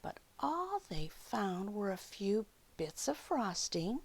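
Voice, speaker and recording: A woman reading a picture book aloud to young children, expressive and dramatic, with questions and hushed asides to the listeners. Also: medium pitch, takes it slowly. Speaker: children's storyteller.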